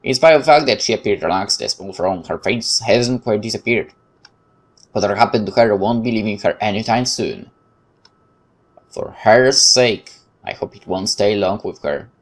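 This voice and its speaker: A man hosting a let's play, reading narration aloud in a measured, dramatic way with casual commentary. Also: lowish voice, animated.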